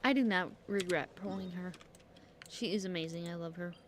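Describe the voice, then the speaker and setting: A woman's voice: lowish voice, moderate pace, a little hiss or hum. A woman streaming a game, muttering blunt, slangy commentary to herself. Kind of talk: casual gameplay commentary. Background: faint game music, keyboard clicks.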